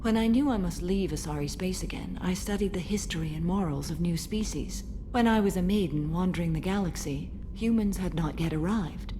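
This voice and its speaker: A woman plays an ancient alien warrior-knight from a sci-fi game. Her voice is on the low side, stern and serene, with formal, measured delivery. Ambient noise is clearly heard behind her. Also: natural voice. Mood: serene, reflective. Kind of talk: dramatic game dialogue.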